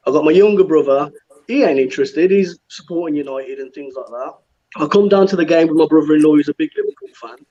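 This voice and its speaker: Thirties male fan guest, blunt rambler, raised pitch, lo-fi sound. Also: a little mellow. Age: 30s. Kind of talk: casual football chat.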